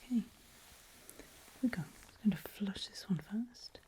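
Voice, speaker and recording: A woman whispering gently and reassuringly to a patient in an ASMR medical roleplay, close to the microphone. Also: mid-range voice, lively intonation, moderate pace, slight background noise, poor audio. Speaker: ASMR performer playing a back-alley eye surgeon.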